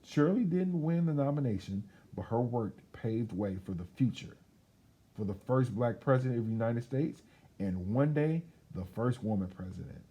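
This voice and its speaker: A man reads a children's picture book aloud in a mellow, slightly husky voice. His delivery is expressive and emphatic, with pitch that rises and falls freely as he tells the story. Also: normal speed, dull audio. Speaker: children's read-aloud host.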